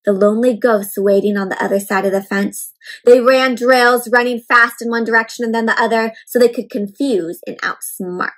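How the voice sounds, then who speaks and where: A young adult woman reading a picture book aloud to children in an expressive, teacherly way, pausing to explain and ask questions. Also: fairly high pitch. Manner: expressive, emphatic, teacherly, slowing on key words. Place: indoor room at home.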